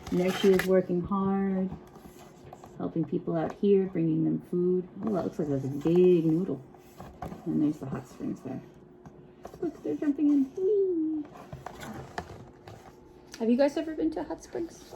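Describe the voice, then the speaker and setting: A woman reading a picture book aloud to children in an expressive, encouraging way, with chatty asides and frequent check-in questions. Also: fairly low pitch, gravelly, a little hiss or hum, low-quality recording. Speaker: children's storytime reader.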